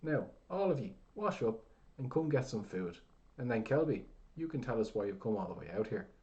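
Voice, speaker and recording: A man voicing a crass, half-deaf old blacksmith who shouts in a gruff, rough brogue. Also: medium pitch, very animated, normal speed, muffled recording. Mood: commanding.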